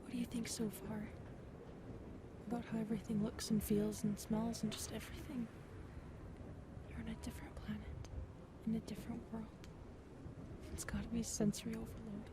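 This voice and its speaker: Over clearly noisy ambience comes an affectionate alien woman, acted by a woman for an ASMR audio roleplay. Her voice is on the high side, soft and breathy, slipping often into whispers. She speaks intimately and playfully, as to a lover. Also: flat delivery, moderate pace, pauses often, lightly acted. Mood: gentle, excited. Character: affectionate, playful adult female alien lover, tall otherworldly species.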